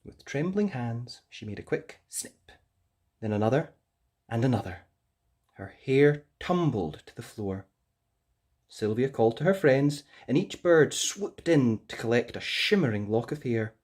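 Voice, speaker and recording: A woman narrating a children's fairytale as an expressive storyteller. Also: extremely low pitch, a little slow.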